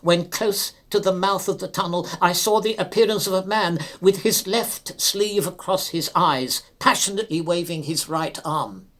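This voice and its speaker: A man narrating a classic ghost story in a solemn, measured and theatrical manner. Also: sits high, a bit bright. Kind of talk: dramatic literary narration.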